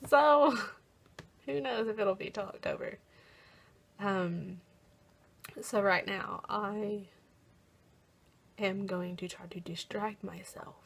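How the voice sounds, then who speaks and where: A young American woman chatting casually in a vlog, often pausing as she tries to recall things. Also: mid-range voice, a little hiss or hum. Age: young adult. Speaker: college program applicant vlogger.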